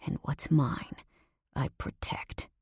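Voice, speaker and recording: A woman reading the lines of a menacing, possessive grown man in a low, hushed, commanding whisper. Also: slow, no room sound, studio quality, lightly acted. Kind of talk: audiobook dialogue reading.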